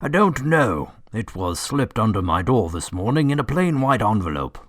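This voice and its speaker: Male actor as well-mannered, strong-willed heir, radio drama: lowish voice, lively intonation, dry sound, courteous yet firm. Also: normal speed, dry recording. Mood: resolute. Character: polite, determined gentleman heir. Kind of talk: audio drama acting.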